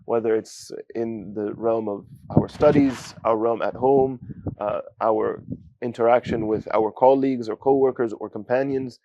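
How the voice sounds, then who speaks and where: A young man from giving a student testimonial, talking haltingly with many fillers and Arabic phrases. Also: mid-range voice, fairly even intonation, warm tone, dull audio, a little hiss or hum. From United States. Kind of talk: casual spoken testimonial.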